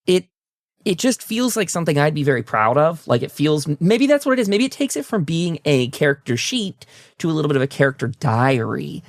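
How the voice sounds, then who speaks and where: A man's voice: a little high, sing-song, a little fast, dry recording, studio quality. A man hosting a casual interview, talking in an effusive, musing way. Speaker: talk show host, interviewer.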